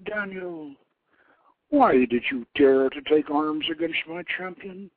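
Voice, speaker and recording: A man reads as a fierce goddess of war who mocks a wounded king, her voice lifted high above the storyteller's own. She speaks slowly and with scorn, in a husky tone. The sound is thin, as over a phone line. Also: no room sound. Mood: scornful command. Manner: commanding, scornful. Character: imperious goddess of war, woman, fierce and mocking.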